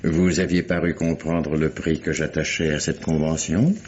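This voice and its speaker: An actor playing a menacing aristocratic count, haughty and deliberate, in old French radio drama style. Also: male voice, very deep voice, little pitch movement, darkish tone, a little hiss or hum. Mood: smooth menace. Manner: haughty, theatrically deliberate, formal. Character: menacing aristocratic count, a cold blackmailing suitor. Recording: dull lo-fi vintage radio recording.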